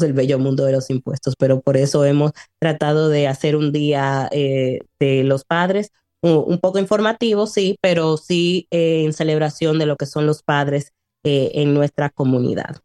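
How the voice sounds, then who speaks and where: A woman in her late thirties on a radio show, explaining taxes and chatting. She hesitates often, fills pauses and drops English words into her speech. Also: low voice, mellow, no echo, studio quality. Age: 30s. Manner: hesitant, many fillers, mixes in English words. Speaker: tax service guest on radio. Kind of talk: informational radio chat. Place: radio studio.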